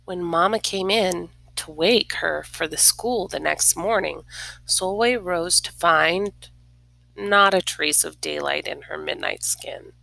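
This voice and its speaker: A woman reading a children's story aloud expressively, with dramatic pauses, hushed near-whispers and casual asides. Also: on the low side, creaky, no echo, rough recording. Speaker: storybook reader.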